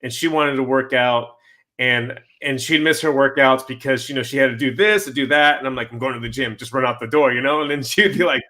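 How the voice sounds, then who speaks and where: A man hosting an interview, talking casually in a rambling, halting way with many restarts. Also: medium pitch, sharp tone. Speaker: podcast host.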